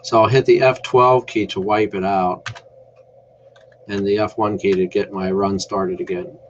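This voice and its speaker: A man's voice: on the low side, fairly even intonation, unhurried, muffled recording, slight background noise. A man presenting a casual screen-share tutorial in a laid-back, explanatory way. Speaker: amateur radio tutorial presenter.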